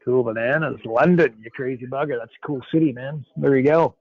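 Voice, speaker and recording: A man's voice: medium pitch, a little fast, slightly rough. A man hosting a live question-and-answer call, with a nasal voice and a loose, chummy, rambling way of talking full of fillers. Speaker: host. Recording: phone-like narrow line.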